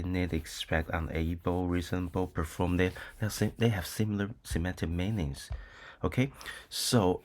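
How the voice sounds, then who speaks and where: Brief: middle-aged Taiwanese male professor, low voice, unhurried, filler-heavy lecturing. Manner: hesitant, many ums and uhs, frequent "okay?" checks. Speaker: university lecturer. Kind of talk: recorded lecture with screen demo.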